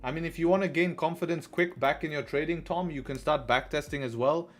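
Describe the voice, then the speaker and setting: A man's voice: a little high, fairly quick. Young man streaming with a headset. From Dubai. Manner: relaxed, repeats phrases, says bro and man. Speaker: forex trading streamer. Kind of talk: casual live-stream commentary. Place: small room indoors.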